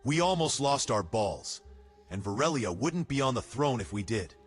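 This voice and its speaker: A man playing a cautious, wry, level-headed soldier in a fantasy audio drama, speaking in a measured manner. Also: mid-range voice, sing-song, breathy edge, faint music behind. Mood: conspiratorial.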